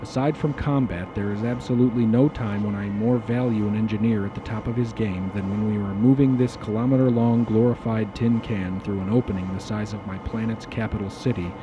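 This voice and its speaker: A man's voice: lowish voice, dull audio, natural voice. A man playing a stern starship officer in an audio drama, dryly sardonic and commanding, with wry, elaborate phrasing.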